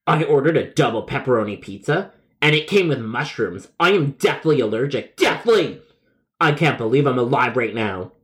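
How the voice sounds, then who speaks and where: Belligerent male customer in a comedic phone complaint, put on by a male narrator, indignant and emphatic, with animated pitch. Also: fairly quick, natural voice. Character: angry profane male pizza customer, belligerent liar.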